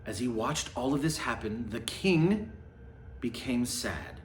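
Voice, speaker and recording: A man reading a storybook aloud with a theatrical, deliberate delivery. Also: medium pitch, animated. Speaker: storybook reader. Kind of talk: animated read-aloud storytelling. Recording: echoey room, faint background noise.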